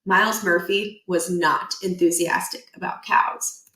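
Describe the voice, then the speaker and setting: A woman reading a children's book aloud expressively, with deliberate emphasis and a playful deadpan touch. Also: lowish voice. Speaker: read-aloud storyteller. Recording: echoey room.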